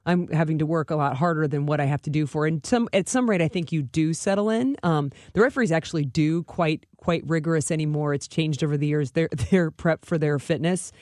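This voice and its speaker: A woman's voice: low-pitched, a little fast, few pauses, a little mellow. An American woman co-hosts a sports radio show in an informal, conversational style. She phrases things loosely and repeats words for emphasis. The sound is dry and studio-like, without echo. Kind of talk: casual sports chat.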